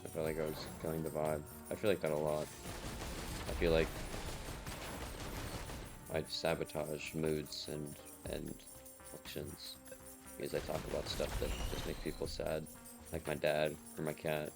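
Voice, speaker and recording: A young man speaks in an extremely low, gravelly voice that barely rises or falls. His delivery is flat and monotonous, like casual commentary while gaming at home. Loud game music plays underneath. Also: a little slow, pauses often. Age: young adult. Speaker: gamer vlogger. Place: home room. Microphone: desk mic with noise suppression. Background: loud game music and gunfire.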